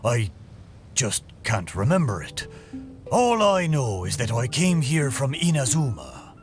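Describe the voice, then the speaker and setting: Swooping, hearty old sea-dog ship. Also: male voice, medium pitch, very animated, measured pace, over music. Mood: boastful, cheerful. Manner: hearty nautical dialect, theatrical flourishes. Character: jolly old-salt talking ship, forgetful, boastful, big-hearted. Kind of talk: video game character acting.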